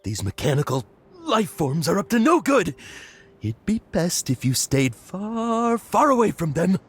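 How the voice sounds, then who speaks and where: A man's voice: sits high, sing-song, unhurried, husky, full character voice. A man voicing a shaken young herb gatherer in a video game, stammering and jumpy with erratic laughter. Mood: paranoid. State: agitated.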